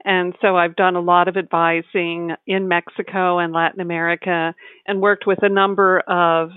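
A woman giving explanatory answers as an interview guest, articulate and measured, speaking in full, well-formed sentences. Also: on the low side, flat delivery, smooth, no echo. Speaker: tax advisor, interview guest. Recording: tinny phone-like line, dry.

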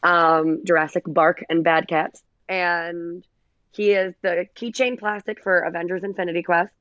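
A raspy-voiced woman, a podcast guest chatting casually, slightly hoarse. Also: fairly low pitch, steady tone, measured pace, no echo, dull audio. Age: 30s. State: recovering from covid, hoarse. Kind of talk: casual chat.

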